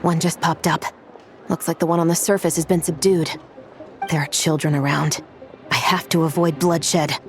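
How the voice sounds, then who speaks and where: A woman voicing a cool, composed agent in a video game, speaking in a measured, deadpan and confident way. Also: sits low, natural voice. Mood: focused. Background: game music.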